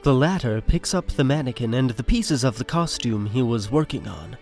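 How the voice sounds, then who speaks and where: A man's voice: mid-range voice, animated, normal speed, gravelly, a little mellow. A man reading scripted stage directions as the narrator of an audio drama. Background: music.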